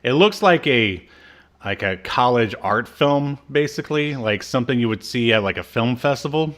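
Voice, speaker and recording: A man's voice: medium pitch, expressive, moderate pace, a bit bright. An American man co-hosting a podcast, chatting about a TV show in a laid-back, agreeable, conversational way. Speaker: podcast co-host. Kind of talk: casual chat reviewing a TV show.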